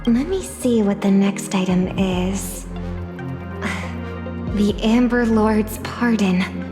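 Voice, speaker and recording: A woman voicing a calm, thoughtful young woman in a video game cutscene, speaking gently. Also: medium pitch, takes it slowly, lightly acted. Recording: studio voice with long reverb and music.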